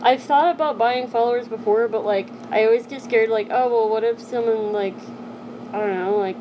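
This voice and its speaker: A young woman hosts a casual live stream from her home, her voice sitting a little high. She rambles and keeps dropping in "like" as she hunts for the words. Steady background noise runs under her voice the whole time. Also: normal speed. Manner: rambling, heavy use of "like" fillers.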